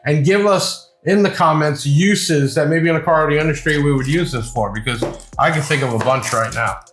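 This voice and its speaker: A man's voice: on the high side, brisk, background noise. A man hosting a casual unboxing review, talking informally in a rambling, enthusiastic sales patter. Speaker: video host and shop seller presenting products. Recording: echoey room with music behind.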